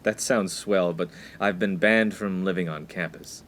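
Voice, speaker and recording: A man's voice: low-pitched, unhurried, a bit bright, slight background noise. A man playing a studious, proper young college freshman in a comic radio play, earnest and easily exasperated. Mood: polite, hesitant. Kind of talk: 1950s-set comic radio play acting.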